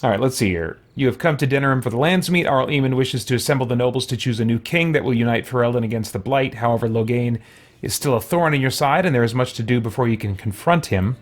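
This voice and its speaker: A man's voice: mid-range voice, quick pace. A man hosting a gaming playthrough, giving casual commentary and reading game text aloud. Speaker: gaming video host.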